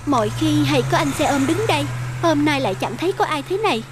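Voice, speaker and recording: A woman's voice: sits high, lively intonation, fast talker, background noise. A woman playing an earnest, decisive female doctor, speaking emphatically in a cartoon drama. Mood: puzzled.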